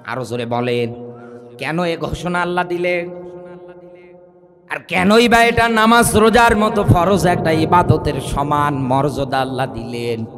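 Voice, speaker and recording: High-pitched Bangladeshi male preacher in reverberant hall. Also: measured pace, fairly bright tone. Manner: oratorical, rhetorical questions and repeated phrases, calling on listeners to respond. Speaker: Islamic preacher. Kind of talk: impassioned religious sermon to a gathering. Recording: long hall reverb, amplified sermon.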